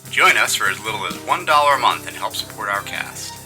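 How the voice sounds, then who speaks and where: A male announcer delivers scripted credits. His voice is fairly low but extremely bright and forceful, over a faint music bed. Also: lively intonation, brisk, rough recording.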